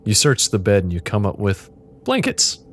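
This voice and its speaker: A man's voice: lowish voice, wildly swooping pitch, moderate pace. A man acting as game master, narrating improvised interactive fiction in a playful, joking way.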